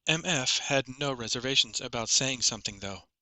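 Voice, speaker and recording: Guy narrating his fantasy audiobook, really bright sharp voice, super dry close sound. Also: mid-range voice, expressive, moderate pace, no echo, poor audio. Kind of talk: scripted first-person story narration.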